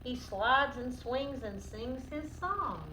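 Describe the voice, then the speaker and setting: A woman's voice: high voice, slow, sharp tone. A woman teacher doing a children's read-aloud lesson in an instructive, questioning, sing-song manner. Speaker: teacher reading a picture book aloud to children. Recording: roomy, distant mic, noticeable background noise.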